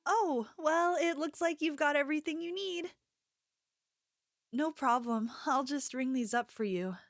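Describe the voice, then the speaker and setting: Woman voicing young female store clerk for ASMR roleplay: sweet, solicitous, slightly high, unhurried, soft, secretly possessive. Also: faint music behind. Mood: sweet, attentive. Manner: gentle, apologetic, hesitant, solicitous.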